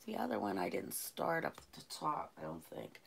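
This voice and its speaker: A low, gravelly older woman teaching painting in a home studio. She is losing her voice, and she talks slowly and calmly as she works, with faint room noise behind her. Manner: slow, calm, unhurried talk. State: losing her voice, clearing her throat. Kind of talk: live painting lesson, thinking aloud. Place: home art studio, indoors.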